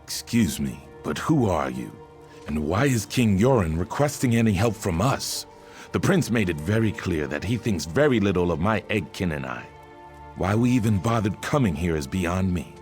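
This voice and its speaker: A proud lizard-folk warrior from a fantasy game, with a low-pitched voice. He speaks solemnly and deliberately, carrying an old grievance, over faint music. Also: big pitch swings, darkish tone. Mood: bitter, proud. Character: proud, aggrieved male reptilian warrior from a swamp people. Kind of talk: video game character dialogue. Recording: music behind the voice.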